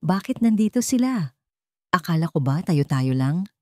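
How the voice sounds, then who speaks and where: A woman's voice: fairly low pitch, sing-song, normal speed, dark tone, no room sound, clean studio sound. A woman voicing an anxious, weak-willed grown son in an audio story, nervous and hesitant. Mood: worried. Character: anxious, weak-willed adult son under his mother's thumb.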